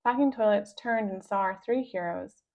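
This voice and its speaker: A woman reading aloud a children's story with expressive scripted narration, on a slightly dull recording. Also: medium pitch, normal speed. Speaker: storybook reader.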